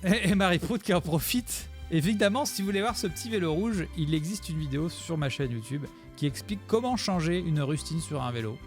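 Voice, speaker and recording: A man's voice: on the high side, lively intonation, brisk, few pauses, faint music behind. A man streaming live, commenting on his own gameplay video with a chat, rambling and joking as he thanks his followers.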